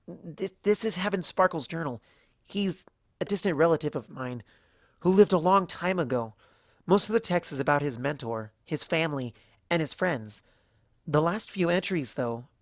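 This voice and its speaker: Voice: medium pitch, no room sound, clean studio sound, voice pushed up, natural voice. A narrator voicing a young female unicorn librarian, earnest and nervous as she explains things in a story reading.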